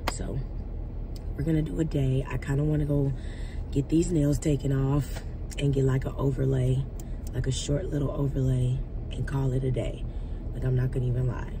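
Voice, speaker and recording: A young woman, chatting casually to the camera from her car and calling viewers y'all. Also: rumbling low. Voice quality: raspy. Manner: chatty, addresses viewers as y'all, lively hand gestures. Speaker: vlogger. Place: parked or driving car, indoors of vehicle. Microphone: phone camera on dashboard. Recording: car noise behind.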